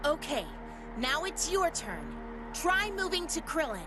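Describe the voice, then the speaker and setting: A woman voicing a clever, confident, take-charge character in an anime video game, expressive, with music behind. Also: high voice, a little slow.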